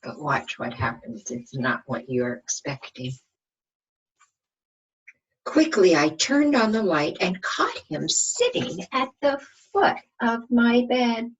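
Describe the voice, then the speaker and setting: A woman reading a picture book aloud to young children like a teacher, expressive, often asking the listeners questions. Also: medium pitch, takes it slowly, breathy edge, muffled recording. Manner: asks the listeners questions, dramatic emphasis.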